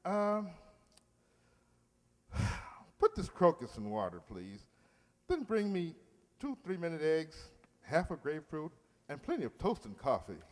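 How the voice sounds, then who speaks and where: A blustery, pompous uncle, swooping voice. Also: male voice, on the high side, huge pitch swings, a little slow, long pauses, a little mellow. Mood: grumbly, hungry. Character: blustery, pompous middle-aged uncle and water commissioner. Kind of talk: old-time radio comedy acting. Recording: echoey hall stage recording.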